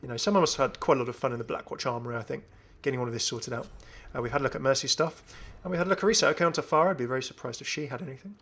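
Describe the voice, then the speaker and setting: A man hosting a gaming video, giving casual, enthusiastic commentary in a creaky voice as he browses game items. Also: medium pitch, rapid-fire, few pauses, fairly bright tone. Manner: chatty, enthusiastic. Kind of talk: casual gameplay showcase commentary.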